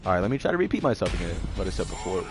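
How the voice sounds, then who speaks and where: A man live-streaming a game with casual, profane commentary, his voice raspy and hoarse from a sore throat. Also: low voice, sing-song, very fast. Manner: loose, profane, rambling. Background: game music.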